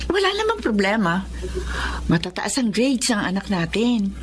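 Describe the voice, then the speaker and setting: A woman's voice: mid-range voice, big pitch swings, normal speed, natural voice. An actress as a homely Filipino mother in a radio drama. Her voice sits in the middle range, attentive and domestic, with faint noise behind it. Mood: fond, slightly uneasy. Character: homely, attentive young child's mother, partner.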